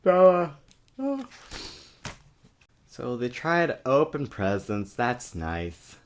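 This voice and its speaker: A man's voice: medium pitch, sing-song, takes it slowly, slight background noise. A man giving a parody dramatic reading of a novel with sarcastic, exaggerated asides, recorded on a webcam. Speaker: comic reader of a novel. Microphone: webcam microphone. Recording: choppy cheap webcam recording.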